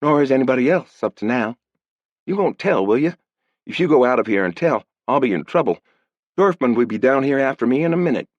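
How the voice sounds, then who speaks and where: A man's voice: medium pitch, expressive, fairly quick, dry recording, voice pushed up, close to an everyday voice. A man voicing a wary, bossy young woman on a frontier ranch. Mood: earnest. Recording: dull lo-fi dry audiobook recording.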